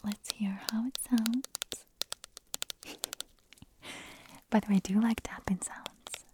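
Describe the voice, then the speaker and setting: A woman whispering in an ASMR audio, her voice hoarse and raspy as she nearly loses it, giggling and making chatty, self-deprecating asides. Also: fairly high pitch, steady tone, takes it slowly, noisy background. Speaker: ASMR creator.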